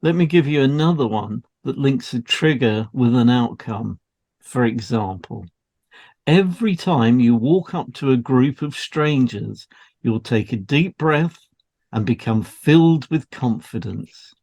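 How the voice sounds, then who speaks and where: A man with a medium-pitched voice co-hosts an educational podcast. He reads prepared material in an explanatory way, as one teaching a subject to trainees. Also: animated, a little slow, a little mellow. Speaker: podcast co-host, hypnotherapist. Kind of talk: scripted educational podcast read aloud.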